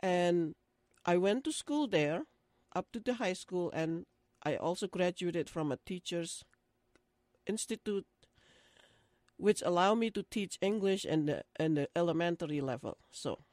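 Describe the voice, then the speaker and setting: A woman's voice: lowish voice, expressive, measured pace, a little mellow, dry recording. Older lady telling her life story, lots of ums and little laughs, dry close sound. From Indonesia. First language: Dutch. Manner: hesitant, frequent fillers, laughs often. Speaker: interviewee. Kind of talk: oral history interview.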